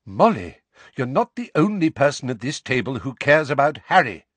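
A man plays a calm but sharp grown peacemaker in a storybook. The delivery is measured and pointed, with expressive pitch movement. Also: slightly rough, no echo.

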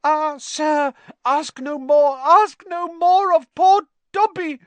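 Storybook house-elf: small, squeaky, high-pitched, anxious, fawning, stammering pleas. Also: male voice, slow pace, no room sound. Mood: fearful pleading. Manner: stammering, pleading, speaks of self in third person. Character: anxious, servile little male house-elf, miserable and devoted.

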